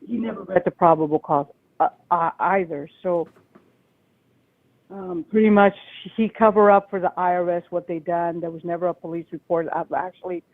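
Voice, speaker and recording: A woman caller with a loud voice, telling a long agitated story over the phone to a call-in show. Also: fairly low pitch. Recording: tinny phone line.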